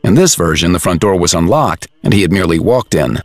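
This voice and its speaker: A man's voice: sits low, expressive, fast talker, darkish tone, dry recording, studio quality. A man reading scripted narration for a dramatized audiobook, as storyteller.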